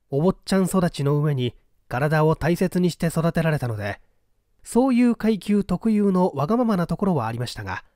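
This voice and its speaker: Guy narrating a mystery audiobook, polite n measured, really varied intonation, very dry close sound. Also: fairly high pitch, a little mellow, dry recording. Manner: measured, polite storytelling delivery. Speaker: audiobook reader. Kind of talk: dramatic literary narration of a mystery story.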